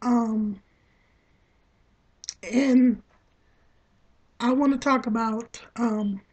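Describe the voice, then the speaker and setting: Woman, 30s, a bit high, hoarse from a cold, rambling webcam vlog at home. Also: steady tone, extremely slow, a little mellow. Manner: rambling, repeats herself, many ums. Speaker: vlogger. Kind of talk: casual talk to camera. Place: small room at home, indoors. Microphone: laptop webcam at desk.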